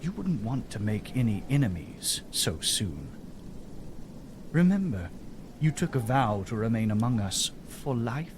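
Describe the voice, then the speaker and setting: Boastful knight, sly and mocking, slow theatrical phrasing, animated melody, audio drama, faint noise behind. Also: male voice, mid-range voice. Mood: veiled threat. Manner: mocking, theatrical, drawn-out delivery. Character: boastful, sneering senior knight with sly insinuating temper.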